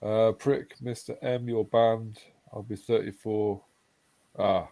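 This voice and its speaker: A man's voice: lowish voice, steady tone, takes it slowly, gravelly, mellow, no room sound. A man in his forties hosting a casual live-stream chat, reading out messages from viewers and laughing along with the jokes. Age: 40s. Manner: banters and reads chat messages aloud, laughing often. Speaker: host.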